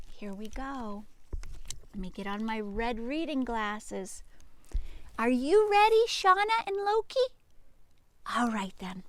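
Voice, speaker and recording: A woman reads to young children in a fairly high, highly animated voice. She takes her time, speaking like a teacher at storytime. Her delivery is playful and expressive, with dramatic emphasis. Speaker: teacher reading aloud to children. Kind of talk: animated children's read-aloud, addressing young listeners.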